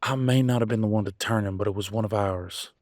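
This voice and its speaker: A man playing a conflicted werewolf pack alpha in an audio roleplay, arguing emphatically in colloquial, sweary speech with dramatic pauses. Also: on the low side, darkish tone, no echo, studio quality, close to an everyday voice. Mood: remorseful, firm. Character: Damien, conflicted male werewolf pack alpha, defiant and remorseful. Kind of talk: scripted audio roleplay, one side of an argument.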